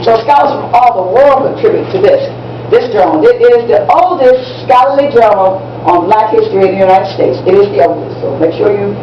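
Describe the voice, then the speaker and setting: A woman's voice: mid-range voice, a little fast, natural voice. A woman playing an encouraging teacher, echoey and distant. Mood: informative. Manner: encouraging, repeats herself for emphasis, instructive. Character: enthusiastic, proud woman teacher briefing young students on history. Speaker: teacher in a one-act play. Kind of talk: live amateur stage play, lecture to students. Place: library event room, recorded from audience distance. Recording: distant, muffled, noisy echoey room recording.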